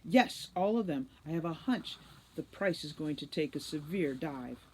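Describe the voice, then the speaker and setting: A woman's voice: sits low, lively intonation, normal speed, a little mellow, breathy edge. A woman playing a nervous, self-interested elf-goblin port director in a storybook, speaking anxiously and hurriedly. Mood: hurried, scheming.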